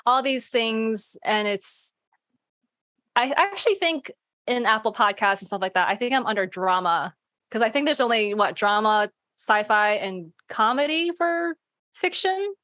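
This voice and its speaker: A woman's voice: a little high, expressive, measured pace, fairly bright tone, no room sound. A woman podcast creator talking casually in a group discussion about her work. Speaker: fiction podcast creator on a panel. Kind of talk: casual chat.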